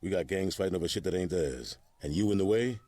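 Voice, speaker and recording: Deep, husky street gang leader. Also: male voice, quick pace, a little mellow, dry recording. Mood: grave, calm. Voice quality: low, husky. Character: calm older male gang leader, fatherly, urgent.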